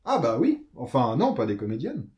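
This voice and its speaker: A man's voice: mid-range voice, huge pitch swings, normal speed, a little mellow, highs cut off. A man playing a talkative, jittery screenwriter in an audio drama, colloquial and a little hesitant. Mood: musing. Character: chatty, jittery male screenwriter, politely hospitable.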